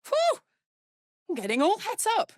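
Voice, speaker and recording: A woman's voice: very high voice, wildly swooping pitch, unhurried, no echo, studio quality, heavily acted. A woman voicing a brash, big-hearted, battle-hardened warrior woman in a video game, blunt and slangy. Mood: excited. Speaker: video game character voice actor. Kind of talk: video game character voice lines.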